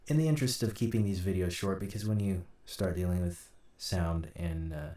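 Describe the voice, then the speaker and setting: A deep-voiced man walks through software in an informal tutorial. He thinks aloud in a halting way as he works. The sound is roomy and a little distant from the mic, with a soft, dark tone. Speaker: host, audio drama sound designer. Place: indoor room at a computer. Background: keyboard typing and mouse clicks, faint noise.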